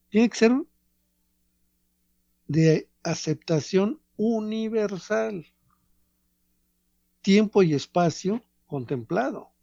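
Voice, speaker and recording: Measured professorial man. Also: high voice, vocal fry, dark tone, dry recording, dull audio. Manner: measured, professorial, pauses between phrases. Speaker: radio commentator. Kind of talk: reflective radio talk on art.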